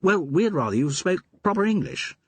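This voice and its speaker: A bold young upper-class gent, boyish and hearty, full of bluster, played by a man. The voice is lifted above the reader's own low tone and keeps a husky grain. The recording is dry and close. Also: sing-song, normal speed, fairly bright tone, dry recording, heavily acted.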